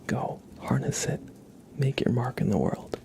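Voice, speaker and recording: Whispering, gravelly male ASMR streamer. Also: medium pitch, lively intonation. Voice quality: breathy whisper with some gravel. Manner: soft-spoken, gentle, unhurried. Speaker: ASMR live streamer and host. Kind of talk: whispered ASMR livestream chat.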